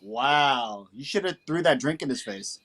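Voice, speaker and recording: A man co-hosting a podcast, chatting in a relaxed, slangy way and reacting quickly to his co-host. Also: mid-range voice. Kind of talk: casual chat.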